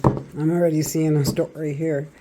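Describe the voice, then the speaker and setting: A man with a raspy voice doing a chatty tarot reading, talking straight to his viewers. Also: a little high, level tone, moderate pace, background noise. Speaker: tarot reader.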